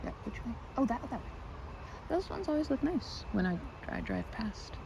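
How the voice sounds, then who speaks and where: Woman acting a timid, stammering girl-next-door, animated pitch. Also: moderate pace, gravelly, noisy background. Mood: shy chatty. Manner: shy, stammering, hesitant, self-correcting. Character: timid, rambling, sweet young woman at a riverside. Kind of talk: ASMR roleplay, addressing the listener.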